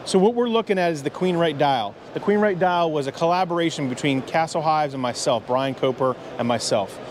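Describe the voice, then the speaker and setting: A man in his forties with a loud voice, giving an energetic sales pitch at a convention hall booth on a clip-on mic. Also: brisk. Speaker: beekeeping vendor. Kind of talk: product pitch. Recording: faint hall background noise.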